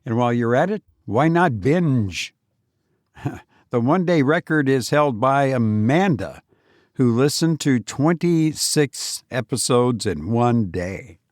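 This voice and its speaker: A man hosting a podcast and telling stories informally in the first person, with a halting, hesitant delivery. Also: medium pitch, expressive, darkish tone, no echo, clean studio sound. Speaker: podcast host and narrator.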